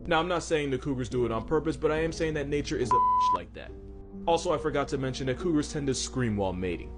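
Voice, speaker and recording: A man's voice: on the high side, wildly swooping pitch, quick pace. A man delivering scripted comedic narration for an animal facts video, with a wry, slangy and irreverent manner. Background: music.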